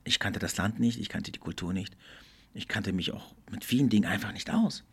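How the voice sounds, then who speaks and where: A middle-aged man hosting a podcast, speaking in a thoughtful, earnest monologue that often slows and drops almost to a whisper. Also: on the low side, expressive, creaky, husky. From Germany. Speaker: podcast host. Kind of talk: reflective solo podcast monologue.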